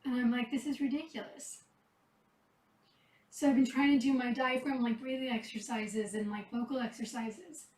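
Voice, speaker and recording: High-voiced woman losing her voice. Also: steady tone, moderate pace, reverberant, far from the mic, a little hiss or hum, poor audio. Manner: chatty, soft-spoken, talks to the cats between remarks. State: losing her voice, a bit hoarse. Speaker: cat rescuer. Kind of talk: casual chat while tending kittens. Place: kitten room indoors.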